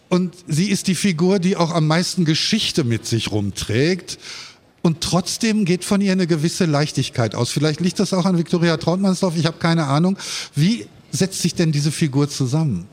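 A man with a somewhat high voice moderates a live panel. He is articulate and orderly, in the style of a stage interview. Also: animated, moderate pace. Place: live stage at a book fair.

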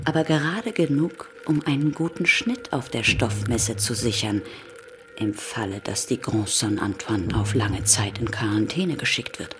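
A woman narrating a radio play as a grave, wry chronicler, in measured literary storytelling over music. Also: a bass voice, heavily acted. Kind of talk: literary scripted narration of historical events. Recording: music behind the voice.

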